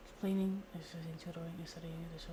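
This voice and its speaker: Low-voiced, even-toned woman streamer. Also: quick pace, music in the background. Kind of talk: reading aloud and reacting to a video game.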